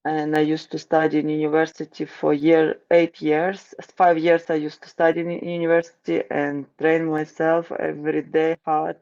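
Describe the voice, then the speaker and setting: A woman from Ukraine with a Ukrainian accent, answering interview questions slowly and haltingly, with many restarts and fillers. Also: deep voice, creaky, darkish tone, highs cut off. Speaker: guest, wrestling coach.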